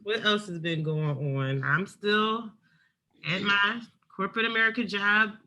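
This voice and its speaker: Woman co-host, bright and forceful tone, easygoing and earnest chat. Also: medium pitch, measured pace. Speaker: podcast co-host. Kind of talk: casual chat.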